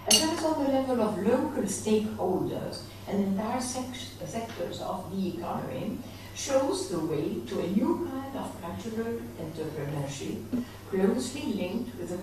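A middle-aged woman at a lectern reads a prepared conference paper in a measured way, with small slips and self-corrections. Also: mid-range voice, distant mic, noisy background. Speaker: conference speaker reading a presentation. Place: large conference hall, at a lectern. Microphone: lectern microphone. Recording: echoey hall, voice from off the mic.

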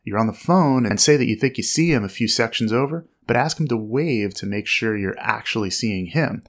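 A man narrating a scripted lesson as an instructor, with a clear, measured, explanatory delivery. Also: mid-range voice, expressive.

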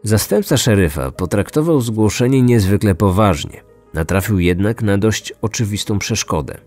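A man's voice: on the low side, lively intonation, moderate pace, a little mellow. A man in his thirties hosting and narrating a scripted true crime podcast. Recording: music behind, some reverb. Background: music.

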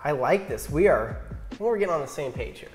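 A man's voice: on the high side, sing-song, a little fast, faint music behind. A man in his thirties hosting a casual tier-list chat in a home garage, easygoing and self-deprecating. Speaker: host.

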